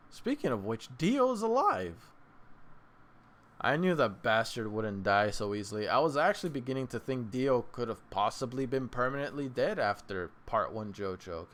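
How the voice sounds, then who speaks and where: A man hosting his own channel, casually reviewing an anime late at night, rambling and restarting his sentences, with sudden excited bursts. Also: medium pitch. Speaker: anime reaction channel host. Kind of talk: casual solo review talk.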